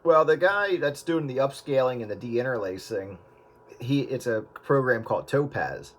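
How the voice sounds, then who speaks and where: A man's voice: mid-range voice, expressive, normal speed, background noise. A man chatting casually about editing old video, rambling with many fillers and restarts. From US Northeast. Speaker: video editor. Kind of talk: casual chat.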